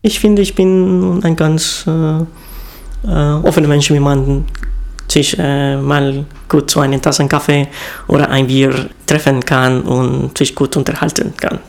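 Hesitant gravelly man from Honduras. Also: fairly high pitch, little pitch movement. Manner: hesitant, many fillers, halting. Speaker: interviewee. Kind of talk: interview answer.

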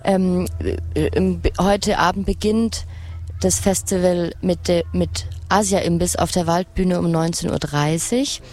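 A woman's voice: lowish voice, steady tone, measured pace, darkish tone. A woman co-hosting live festival radio, chatting and asking questions in a casual, colloquial way. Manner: informal, colloquial. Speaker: radio co-host and interviewer. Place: open lounge area at a festival site. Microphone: broadcast microphone.

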